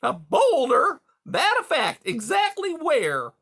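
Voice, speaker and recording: A man's voice: very high voice, wildly swooping pitch, takes it slowly, creaky, sharp tone, pitched above the performer's own voice, full character voice. A man reading aloud, voicing a smarmy, hearty male beaver who chatters in folksy salesman sayings.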